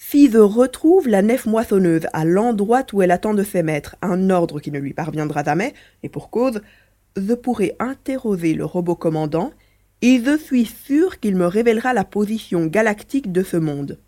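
A woman's voice: mid-range voice, lively intonation, moderate pace, warm tone, close to an everyday voice. A woman voicing a mischievous male mouse-beaver alien, a chatty, teasing adventurer in a French audio drama. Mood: earnest explaining.

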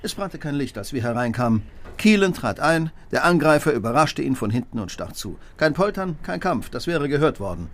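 Exacting detective, animated and precise. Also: male voice, fairly high pitch, fairly quick. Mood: analytical. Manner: precise, questioning, authoritative. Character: brilliant, exacting male detective, coolly analytical. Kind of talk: German radio play acting.

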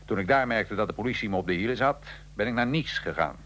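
A man acting in a radio drama as a defiant, wry nineteenth-century Italian revolutionary prisoner, telling his story gravely and with irony. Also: lowish voice, expressive, quick pace, fairly bright tone, slight background noise. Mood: grave, wry recounting.

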